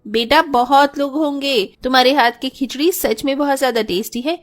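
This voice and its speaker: A woman's voice: high voice, moderate pace, faint music behind, close to an everyday voice. A woman playing a kind, reassuring middle-aged mother in a cartoon story. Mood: affectionate encouragement.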